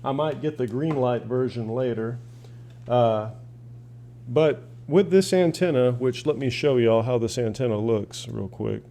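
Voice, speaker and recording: A man's voice: mid-range voice, unhurried, warm tone. A man chatting casually and unscripted as a radio hobbyist showing his gear, rambling in a folksy, plain-spoken way. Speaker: shortwave radio hobbyist asking viewers for advice. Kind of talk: casual unscripted demonstration and chat.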